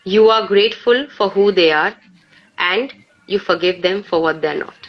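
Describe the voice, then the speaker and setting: A woman with an Indian accent speaking reflectively on a live poetry session, in a halting way peppered with "you know". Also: medium pitch, faint music behind. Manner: halting, peppered with 'you know'. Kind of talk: casual reflective chat and poetry recitation. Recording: dull lo-fi live stream audio.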